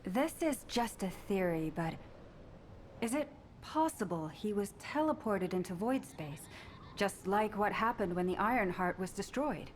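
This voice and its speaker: A woman playing an earnest, proud female soldier in a scripted video game scene, speaking in a measured way. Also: medium pitch, expressive, a little hiss or hum, lightly acted. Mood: earnest, thoughtful. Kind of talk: scripted game cutscene acting.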